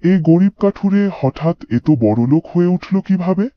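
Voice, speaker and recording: A man's voice: high-pitched, lively intonation, normal speed, no room sound, highs cut off. A man playing a greedy, scheming village moneylender in a cartoon fairy tale, calculating and self-important. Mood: suspicious, scheming.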